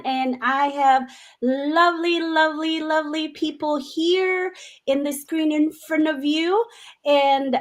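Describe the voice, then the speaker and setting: High voiced woman hosting a video call panel, talks slow, earnest n upbeat, lots of ums. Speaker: host of a live online panel. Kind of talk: hosting a live video panel, personal story.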